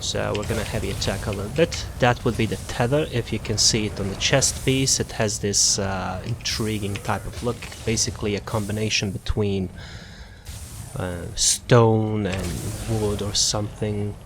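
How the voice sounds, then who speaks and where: A man with a fairly low voice talks through a game guide in a casual, unscripted way. He explains things loosely and corrects himself along the way. Noticeable noise and game ambience sit behind his voice. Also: steady tone, normal speed, vocal fry. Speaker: gamer presenting a character build guide. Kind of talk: casual gameplay guide commentary.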